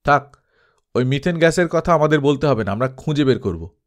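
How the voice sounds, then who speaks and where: A man's voice: mid-range voice, animated, brisk, close to an everyday voice. A man voicing a bossy, scolding male leader of adventurers in a dramatised audiobook.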